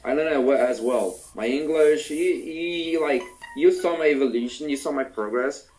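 Young adult male host from Brazil, Brazilian Portuguese accent, non-native English, relaxed halting chat. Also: a little high, faint music behind, low-quality recording. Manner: halting, restarts phrases, laughs easily. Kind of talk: casual chat in English with a friend.

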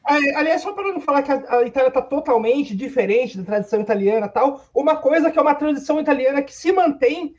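A man's voice: very high voice, expressive, quick pace, hardly any pauses, darkish tone. A Brazilian man chatting casually about football as a podcast commentator, jokey and repeating himself, on a poor microphone. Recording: dull lo-fi mic with hiss.

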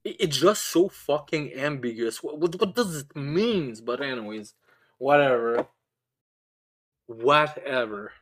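A man's voice: medium pitch, measured pace, a bit bright. A man hosting a casual video game podcast, stammering and repeating words often, swearing freely. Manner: casually foul-mouthed. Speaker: podcast host. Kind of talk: casual chat about video games.

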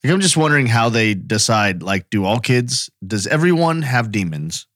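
A man's voice: medium pitch, sing-song, moderate pace, a bit bright, dry recording, studio quality. A man co-hosting a podcast in casual conversation, musing aloud and asking questions. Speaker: podcast co-host.

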